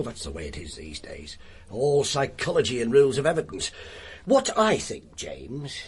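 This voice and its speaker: Commanding police inspector, gruff, wry, hugely animated intonation, deliberate lecturing, radio drama. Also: male voice, fairly low pitch, full character voice.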